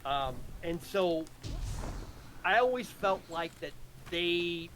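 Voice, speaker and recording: A man talking casually about a game story, haltingly, repeating words as he thinks aloud. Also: high-pitched, lively intonation, a bit bright, a little hiss or hum. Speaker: host presenting his audio drama.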